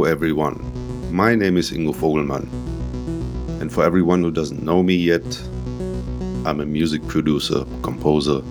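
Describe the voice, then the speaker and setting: This is a man whose voice sits extremely low. He hosts his own podcast in a frank, self-deprecating way and drops casual swear words. Faint music plays underneath. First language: German. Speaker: podcast host, music producer. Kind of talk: solo podcast monologue.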